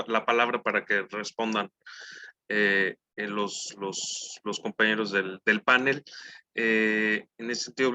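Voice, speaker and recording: A man's voice: medium pitch, sharp tone, no echo. A man moderating a panel, courteous and formal as he passes the floor to speakers.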